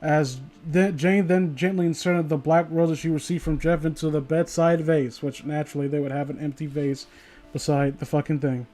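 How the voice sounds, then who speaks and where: High-voiced, tipsy male livestreamer, rambling. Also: fairly even intonation, moderate pace, warm tone, soft background music. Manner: rambling, profane, opinionated. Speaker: livestreamer reading online horror stories. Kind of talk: casual rambling livestream chat and story reading.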